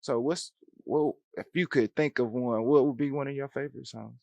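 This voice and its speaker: A man hosts a casual music interview in a laid-back, animated way, using slang and laughing quickly. His voice is mid-pitched and the recording is dry and close, with no room sound. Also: vocal fry, dry recording, dull audio. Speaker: show host, interviewer.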